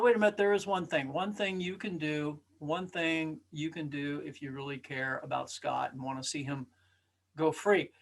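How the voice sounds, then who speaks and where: Male storyteller, high register. Earnest, dramatic delivery of a spoken parable, emphatic, playing out the dialogue.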